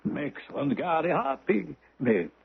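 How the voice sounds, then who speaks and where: A domineering, hypnotic maestro with a husky, rough voice that swings wildly from low to high. He is grandiose and volatile in the theatrical manner of 1970s radio drama. The old broadcast sounds muffled. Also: sing-song, slow pace, full character voice. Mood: commanding. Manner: theatrical, speaks of himself in third person. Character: domineering, mesmerizing male music master, grandiose and volatile.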